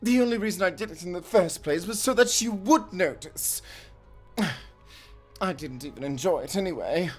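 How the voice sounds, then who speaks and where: A man playing a flamboyant, lecherous vampire aristocrat who pleads theatrically in a dark comedy audio drama. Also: high-pitched, normal speed, faint music behind. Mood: defensive. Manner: theatrical, drawling, dramatic sighs and exclamations.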